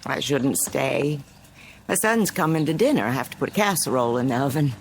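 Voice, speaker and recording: A prim, fretful neighbor woman in a radio play, with a creaky, husky low voice. She hints at things hesitantly, her tone swinging up and down. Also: expressive, a little fast, slight background noise. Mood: polite. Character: fretful, busybody suburban woman neighbor, politely insinuating. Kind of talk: radio drama acting.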